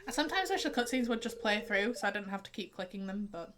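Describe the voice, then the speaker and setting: Give me a playful young woman with a light Yorkshire accent, bright and chatty. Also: mid-range voice, expressive, fast talker, a little hiss or hum. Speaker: game streamer. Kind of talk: casual gameplay commentary.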